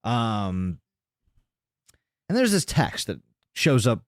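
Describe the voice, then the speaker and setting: A man's voice: medium pitch, very animated, slow pace, dry recording, studio quality. A man hosting a podcast, casually recounting a video in informal, sweary language. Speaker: podcast host.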